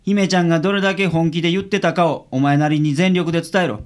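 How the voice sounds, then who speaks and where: An actor playing a gruff, lazy but secretly kind male private detective with a blunt Kansai accent in a radio drama. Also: mid-range voice, fairly even intonation, fast talker, close to an everyday voice. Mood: gruff, assured.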